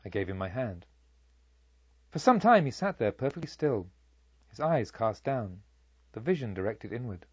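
A male narrator reads a literary tale with deliberate, dramatic phrasing. His voice is slightly husky and moves widely in pitch. The recording is a little dull. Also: big pitch swings, a little mellow, no echo. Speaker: audiobook narrator. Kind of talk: expressive scripted literary narration.

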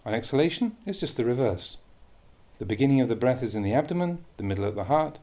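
A man reading a Buddhist meditation teaching aloud with measured, deliberate phrasing. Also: medium pitch, sing-song, dark tone. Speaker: Buddhist monk reading a translated dhamma talk.

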